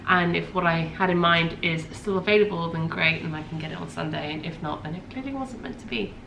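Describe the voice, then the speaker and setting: A woman's voice: lowish voice, a little fast, creaky. Chatty congested woman, echoey room. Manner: rambling, chatty, with many ums and self-corrections. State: congested from a cold. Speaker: podcast host. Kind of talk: casual chat. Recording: echoey room with faint ambient noise.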